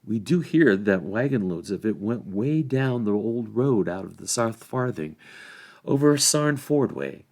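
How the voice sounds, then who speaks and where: A man's voice: on the low side, animated, measured pace, a little mellow. A man reading a storybook, voicing an outspoken hobbit gatekeeper who grumbles in a gossipy, rustic way. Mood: grumbling.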